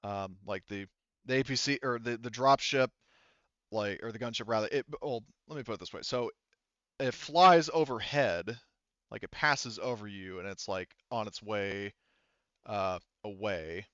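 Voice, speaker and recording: Clear-voiced man running a game, slightly muffled. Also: on the low side, a bit bright, dry recording. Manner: halting, thinking aloud while checking rules. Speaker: game master. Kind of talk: narrating and refereeing an online tabletop roleplaying game. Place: online voice call. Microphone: gaming headset.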